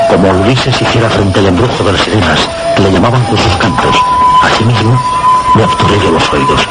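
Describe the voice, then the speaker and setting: An actor with a Castilian Spanish accent playing a shaken man who recounts a supernatural horror in halting, dramatic radio narration. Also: on the low side, natural voice. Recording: very noisy radio drama soundtrack.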